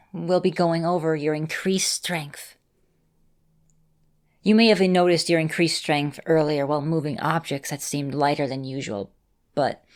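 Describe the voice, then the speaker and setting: Casting: actress as affectionate female vampire mentor for ASMR roleplay, lowish voice, gentle and reassuring with a teasing edge. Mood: encouraging. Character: affectionate centuries-old female vampire mistress, encouraging mentor.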